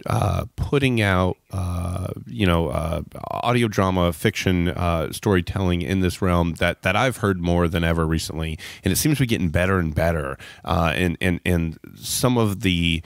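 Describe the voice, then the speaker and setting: A man's voice: low-pitched, moderate pace, creaky, hardly any pauses, no room sound, studio quality. A man hosting an interview podcast, talking effusively and wordily in circling phrases. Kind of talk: enthusiastic interview talk.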